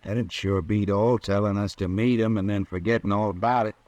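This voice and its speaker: A man acts out a shifty ranch hand in a radio Western. He talks a lot in a lowish voice, with folksy rural grammar and a bossy streak. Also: steady tone, fairly quick, a little mellow, no room sound, lightly acted. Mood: grumbling. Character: shifty, talkative cowboy ranch hand, domineering. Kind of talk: old-time radio Western acting.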